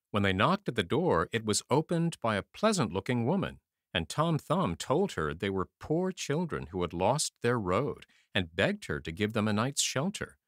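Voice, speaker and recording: A man narrating a fairy tale as an audiobook reader, in a measured, expressive storytelling manner. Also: fairly low pitch, no room sound, studio quality.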